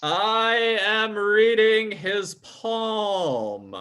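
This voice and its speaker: An actor playing a scheming, defiant fake psychic in a live old-time radio drama, with a theatrical, formal delivery. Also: male voice, high-pitched, expressive, drawn-out words, sharp tone, smooth, heavily acted. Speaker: stage actor in radio play.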